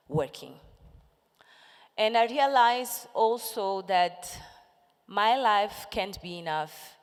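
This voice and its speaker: A woman in her forties, a Portuguese native, speaks to a crowd with rallying passion. Her voice sits on the lower side and rings out with the long echo of a big conference hall. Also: clean tone. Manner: impassioned, rallying the audience with questions. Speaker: conference panelist. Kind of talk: motivational stage talk. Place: large conference hall with audience.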